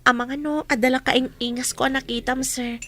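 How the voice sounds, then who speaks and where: A woman's voice: sits high, fairly even intonation, fairly quick, fairly bright tone. A woman playing a sad, burdened young waitress with a polite manner in a radio drama. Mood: polite, downcast. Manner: sorrowful, polite.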